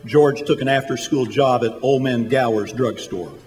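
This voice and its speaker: A man playing a calm, authoritative head angel who instructs his apprentice in a radio drama. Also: medium pitch, normal speed, heavy background noise, natural voice. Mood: calm, instructive. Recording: hall reverb, music underneath.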